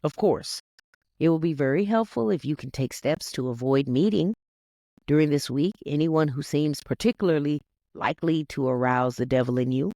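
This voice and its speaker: A narrator reading a self-help text aloud in an instructive, scripted audiobook style, with deliberate emphasis on key words. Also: mid-range voice, dark tone, dry recording, clean studio sound. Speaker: reader, narrator.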